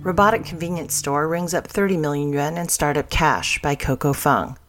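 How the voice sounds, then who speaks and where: Female news story reader, deep register for a woman, scripted delivery. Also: moderate pace, a bit bright, soft background music.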